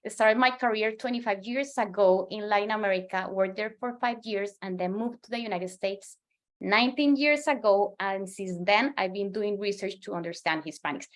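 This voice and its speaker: A woman's voice: mid-range voice, moderate pace. A woman in her forties from Colombia with a Colombian Spanish accent, a native Spanish speaker giving an earnest presentation as a market researcher, often restarting and repeating words. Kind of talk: presentation to a professional audience.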